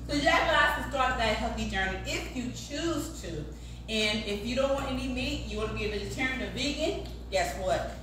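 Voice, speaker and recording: A woman's voice: fairly high pitch, lively intonation, normal speed, distant mic. A very echoey, noisy kitchen recording of an American woman of forty, a little way from the phone. She hosts in a casual, emphatic way, talking straight to her viewers and guests. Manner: emphatic, punctuating with "okay" and "y'all". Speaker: cooking show host. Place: home kitchen, indoors. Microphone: phone camera a few feet away.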